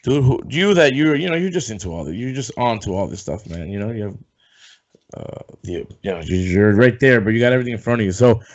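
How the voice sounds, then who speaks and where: A man hosting a casual sports podcast, rambling and loose, swearing offhand, a bit hoarse as if coming down with something. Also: lowish voice, animated, fast talker. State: losing his voice, feeling sick. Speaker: podcast host. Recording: dull lo-fi sound.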